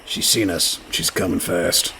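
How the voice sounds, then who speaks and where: An actor playing a surly, bloodthirsty pirate with a sardonic, salty way of talking in a comedy radio play. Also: male voice, measured pace, pushed and bright, slight background noise, rough recording. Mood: urgent.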